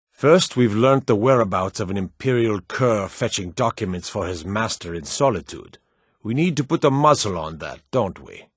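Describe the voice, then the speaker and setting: A brusque fantasy war officer with a lowish, slightly rough voice. He delivers scripted video game briefings in a terse, commanding, businesslike way. The sound is dry and close, with little room around it. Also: dry recording. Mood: grim, contemptuous.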